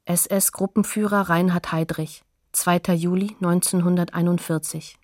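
A woman reading scripted source credits as announcer in a documentary radio play, factual and measured. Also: on the low side, steady tone, a little mellow, dry recording, studio quality.

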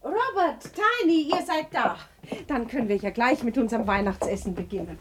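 An actress playing an anxious, loving mother of a poor family, bustling and expressive in a radio play. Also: on the high side, normal speed, background noise, natural voice. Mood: happy, relieved. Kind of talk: 1960s German radio play acting.

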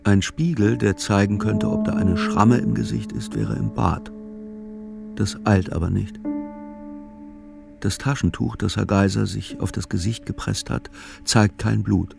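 A man playing an unhurried narrator in a literary radio play, with a gravelly voice and deliberate telling. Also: on the low side, over loud music. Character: unhurried male narrator following an old man's thoughts.

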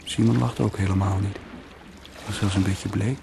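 A man's voice: fairly low pitch, fairly even intonation, normal speed, gravelly. An actor in a radio play monologue, playing a brooding, wry man who muses to himself in short, bitterly ironic fragments. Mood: wry reminiscing. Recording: noisy radio play recording.

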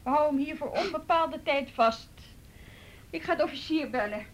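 A high-pitched policewoman, played by an actress in a radio drama. She is brisk, businesslike and commanding, giving directions. A faint rumble runs underneath. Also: lightly acted. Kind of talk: naturalistic Dutch radio drama acting.

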